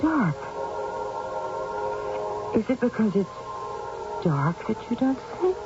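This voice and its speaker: A woman's voice: a little high, very animated, takes it slowly, loud music behind the voice. An actress playing a lonely, guilt-ridden, sleepless middle-aged mother in an old-time radio drama, fretful and brooding. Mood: lonely, pleading. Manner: fretful, brooding, self-pitying. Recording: dull, noisy old radio recording.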